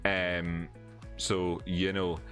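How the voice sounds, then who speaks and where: Scottish man talking frankly with soft music. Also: sits low, flat delivery, drawn-out words. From Scotland. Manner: earnest, repeats words for emphasis, frank about money. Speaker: crypto trading livestream host. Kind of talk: casual livestream chat reading viewer comments. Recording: faint music under the voice. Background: faint background music.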